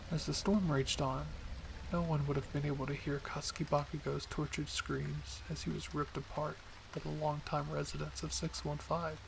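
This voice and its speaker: A man reading a spooky fan-fiction story in soft, hushed ASMR narration. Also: on the high side, gravelly, noisy background. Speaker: storyteller, channel host.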